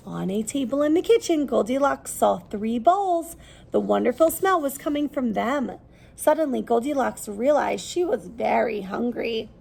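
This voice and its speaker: High-pitched, creaky woman reading a children's story. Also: animated, moderate pace, darkish tone. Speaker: read-aloud storyteller. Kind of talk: expressive children's story reading with questions to listeners.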